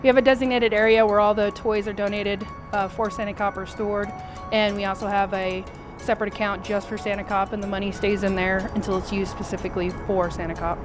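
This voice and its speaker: A woman's voice: medium pitch, little pitch movement, brisk, over music. A woman speaking as a program coordinator in a news interview. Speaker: police officer, charity program coordinator.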